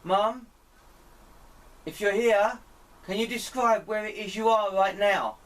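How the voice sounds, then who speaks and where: A man speaking in deliberate, pleading short phrases, putting questions to a spirit as an amateur experimenter. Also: high-pitched. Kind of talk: slow spoken questions addressed to a spirit. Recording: distant mic, clear background noise.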